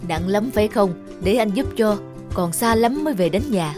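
A woman voicing a gentle, patient, ageing village father in a cartoon, soothing in manner. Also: mid-range voice, animated, moderate pace, music in the background, close to an everyday voice. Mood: reassuring. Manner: soothing, reassuring. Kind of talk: cartoon voice acting.

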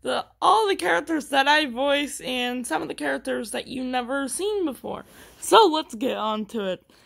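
A woman giving a casual, halting show-and-tell at home into a handheld phone, often restarting her phrases. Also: sits high, animated, creaky, a bit bright. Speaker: amateur video creator and character voicer. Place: room at home.

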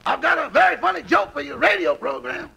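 Very gravelly, cheeky radio valet. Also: male voice, very high voice, animated, brisk, pushed and bright, exaggerated voice. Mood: wisecracking. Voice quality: gravelly, raspy. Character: cheeky, wisecracking male valet calling his boss. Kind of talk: 1950s radio comedy acting.

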